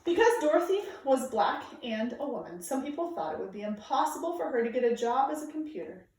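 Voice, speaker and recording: A woman reading aloud to children like a teacher, with clear, deliberate, emphatic phrasing. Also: high voice, sing-song, darkish tone, slight background noise. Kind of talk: read-aloud storytelling for children, informal introduction. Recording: very echoey room, distant microphone.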